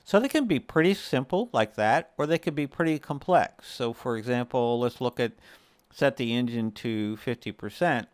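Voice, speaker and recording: A man's voice: mid-range voice, expressive, moderate pace, clear, resonant tone, dry recording. A man hosting a casual gaming tutorial, explaining things step by step in a conversational way. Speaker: video creator and host.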